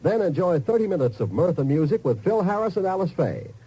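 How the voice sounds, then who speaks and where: A man's voice: high-pitched, sing-song, fairly quick, mellow, breathy edge, poor audio. A male network announcer delivering a polished, scripted promotion in 1950s radio style. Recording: old 1950s radio broadcast recording.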